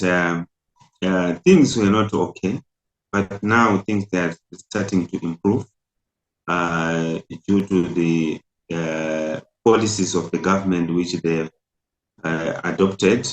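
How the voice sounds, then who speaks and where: A middle-aged man from Zimbabwe, an imam and community leader, answering interview questions with frequent hesitant pauses. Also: sits low. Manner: hesitant, many filler pauses. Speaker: imam and national community president. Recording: roomy echo.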